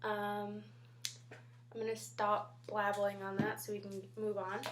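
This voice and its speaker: A woman's voice: medium pitch, completely flat delivery, unhurried, reverberant. A young woman with a stuffy nose from a head cold, chatting casually and rambling to camera about makeup. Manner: chatty and rambling, many ums. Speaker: beauty vlogger.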